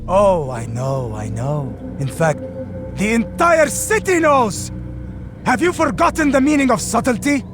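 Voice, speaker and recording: An actor playing a bitter, sarcastic keeper of an assassins' bureau in a video game, biting and contemptuous. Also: male voice, high-pitched, expressive, measured pace, music in the background. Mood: mock delight. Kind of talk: dramatic video game acting.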